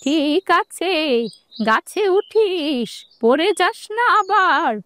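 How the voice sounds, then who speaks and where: A kindly old village granny from a fairy-tale cartoon, played by a woman. Her voice is very high, and she draws out her words slowly in a gently fretful way. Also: animated, exaggerated voice. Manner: slow, drawn-out delivery.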